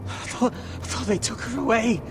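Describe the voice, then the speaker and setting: An actor playing a dying young fighter in great pain, weak and pleading, gasping and crying out. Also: male voice, very high-pitched, moderate pace, noisy background. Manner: weak, pleading, breaking into cries. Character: dying young male wildling-country fighter in agony. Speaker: wounded companion.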